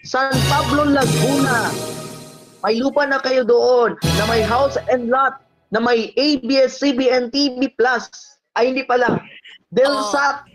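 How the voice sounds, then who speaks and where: A man's voice: extremely high pitch, steady tone, unhurried, poor audio. A Filipino man co-hosting a live game show, announcing prizes in a mix of Tagalog and English. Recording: loud music under the voice. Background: loud music.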